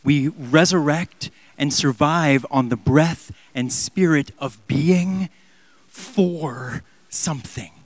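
A man in his thirties preaching a sermon in a church on a handheld mic, impassioned, swinging from loud emphatic peaks down to near-whispers. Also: mid-range voice, no room sound. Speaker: pastor. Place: large church sanctuary.

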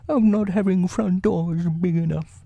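A performer voicing a gentle, anxious toy bear in a storybook, simple-hearted and plaintive. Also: mid-range voice, steady tone, measured pace, creaky, no room sound, pitched above the performer's own voice. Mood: cross.

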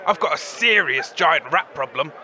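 A man's voice: a little high, animated, moderate pace, sharp tone. A man voicing a brazen female wasteland trainer, selling services in a matter-of-fact way. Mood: businesslike.

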